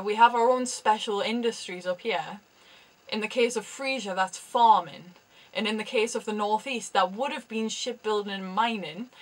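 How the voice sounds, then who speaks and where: A woman's voice: fairly high pitch, rough recording. A young woman from Newcastle with a Geordie accent, a university student explaining her research in a chatty vlog with dry humorous asides. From Newcastle, England.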